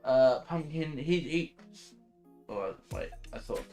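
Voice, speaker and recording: A man's voice: a little high, expressive, takes it slowly, warm tone. A tired man chatting casually as a livestream guest, hesitant and often trailing off. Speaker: livestream guest and roommate of the host. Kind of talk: casual chat. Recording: music behind the voice. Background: music.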